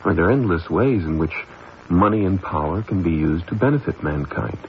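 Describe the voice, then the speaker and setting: An actor playing an evasive, haughty company heir in a halting manner, in an old-time radio drama style. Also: male voice, deep voice, lively intonation. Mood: earnest, brooding. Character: evasive, smug young company heir, accusing his stepmother. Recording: muffled old radio recording, faint noise.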